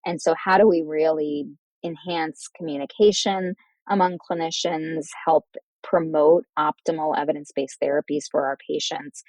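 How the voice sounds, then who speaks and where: A woman with a low voice hosts a medical interview podcast. She is formal and articulate, summing up points and posing questions. The sound is dry, with very little room on it. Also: fairly even intonation, moderate pace, darkish tone, no echo, low-quality recording. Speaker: host, physician interviewer.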